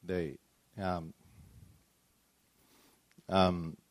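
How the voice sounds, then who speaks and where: Pastor from Malaysia, male, deep-pitched, hesitant phrasing, giving testimony at a panel, dry recording. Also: little pitch movement, extremely slow, no echo. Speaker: church planting pastor. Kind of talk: personal testimony at a panel discussion.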